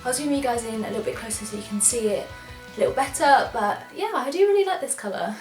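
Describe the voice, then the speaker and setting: Young English woman, slightly high voice, nasally from a cold, bubbly beauty vlogger chat in an echoey room, faint music under. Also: lively intonation, quick pace, a little mellow. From England. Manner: chatty, enthusiastic, apologetic asides. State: congested from a cold. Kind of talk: casual product try-on chat.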